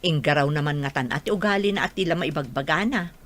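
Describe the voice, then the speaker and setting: A woman's voice: low voice, fast talker. A woman playing a middle-aged mother in a radio drama, chiding and exasperated with her daughter. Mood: questioning. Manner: chiding, expressive. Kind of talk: Filipino radio drama acting.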